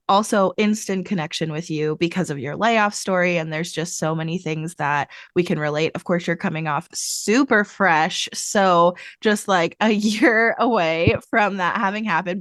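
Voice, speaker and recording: A woman's voice: on the low side, fairly bright tone, no room sound, studio quality. A woman hosting a podcast, talking in an upbeat conversational way with frequent "like". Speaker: podcast host. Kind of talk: podcast interview.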